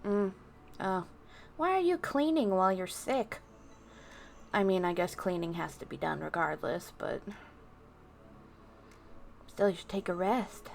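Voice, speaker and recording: A woman livestreamer chatting casually to her viewers, musing off the cuff with pauses between thoughts. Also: medium pitch, animated, vocal fry, a little mellow, a little hiss or hum. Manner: unscripted musing, addressing chat, with pauses. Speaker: VTuber livestreamer.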